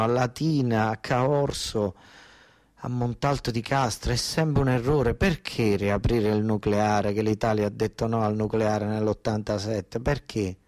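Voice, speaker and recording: A man tells a story as a theatre monologue in a southern Italian accent. His voice sits at a medium pitch in a dry recording. He speaks colloquially with dialect turns, repeating phrases and leaving dramatic pauses. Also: a little mellow, no echo. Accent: southern Italian, Basilicata. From southern Italy. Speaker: stage storyteller and monologue actor. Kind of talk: theatrical first-person narrative monologue.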